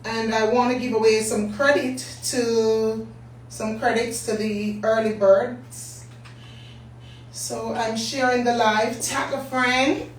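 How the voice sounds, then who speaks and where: A hoarse middle-aged woman with a Jamaican accent hosts a business talk live stream, chatting and greeting viewers by name. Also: on the high side, steady tone, slow. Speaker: business talk show host. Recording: echoey room, distant mic, faint hum.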